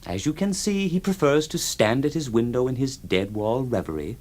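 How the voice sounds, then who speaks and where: A man's voice: mid-range voice, expressive, moderate pace, darkish tone, lightly acted. A man playing a fussy, indignant law clerk. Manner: obsequious, indignant, repeats himself. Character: fussy, deferential male law clerk Nippers. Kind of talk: audio drama acting.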